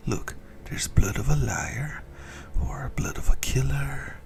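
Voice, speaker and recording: A man playing a possessive, doting ancient vampire in a breathy, whispered ASMR roleplay, teasing and intimate as he savors his words. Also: mid-range voice, slow, a little mellow, close to an everyday voice. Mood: relishing, intimate. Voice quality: breathy whisper with gravelly creak.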